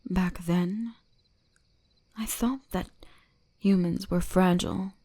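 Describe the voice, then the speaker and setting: A woman voicing a commanding, centuries-old kitsune shrine guardian in an ASMR roleplay, speaking slowly and deliberately with a teasing gentleness. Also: on the low side, fairly even intonation. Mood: coaxing, dominant. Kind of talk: scripted ASMR roleplay addressed to the listener.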